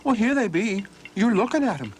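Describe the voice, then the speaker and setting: A man's voice: high voice, very animated, fairly quick, a little hiss or hum, voice pushed up. A man voicing a cheeky, clever young farmhand with a sly, innocent-sounding manner.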